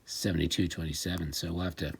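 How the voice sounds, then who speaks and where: Deep, gravelly man, casual demo. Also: fast, noisy background. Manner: wry, excitable, talking to himself while tinkering. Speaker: vintage electronics seller demonstrating a device. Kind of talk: casual off-the-cuff product demo. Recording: music playing behind the voice. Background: music from the player being tested.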